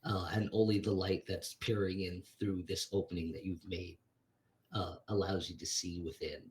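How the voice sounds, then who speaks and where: A man's voice: deep voice, little pitch movement, normal speed, a little mellow, slight background noise. A man narrating a tabletop game as game master, describing scenes hesitantly while he searches for words.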